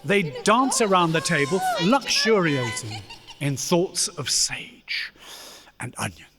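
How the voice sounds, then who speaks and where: A man playing a storyteller, narrating a Victorian tale with theatrical, deliberate delivery in an echoey church. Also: fairly high pitch, very animated. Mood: relishing. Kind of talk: dramatic scripted narration. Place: church, live performance. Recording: echoey church hall, long reverb.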